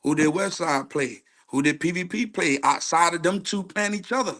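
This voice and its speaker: A man's voice: on the high side, normal speed, a bit bright. A man speaking as a coach in a casual sports debate, emphatic and slangy, repeating phrases as he makes his case. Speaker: youth football coach, show guest. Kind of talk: casual, argumentative sports chat.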